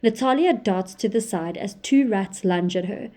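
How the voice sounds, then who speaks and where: A woman narrating an audio drama in a measured, descriptive way. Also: mid-range voice, mellow.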